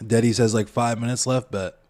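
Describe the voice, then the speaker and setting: A man's voice: medium pitch, steady tone, brisk, a little mellow. A man streaming a video game, chatting casually and rambling to his viewers and a friend. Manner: laid-back, rambling, talks to chat and a friend. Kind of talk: casual gaming stream chat.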